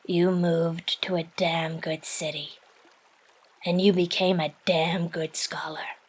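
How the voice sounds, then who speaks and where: A woman playing an earnest, fiercely supportive woman in an audio drama, speaking with tender, emphatic reassurance in a husky, breathy voice. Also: lowish voice, level tone, slow pace. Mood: gentle, encouraging.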